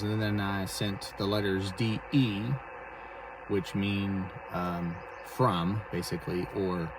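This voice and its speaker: A man casually explaining and demonstrating amateur radio to a phone camera, speaking in a hesitant, halting way. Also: low voice. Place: inside a car for the later part. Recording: clearly noisy phone video recording.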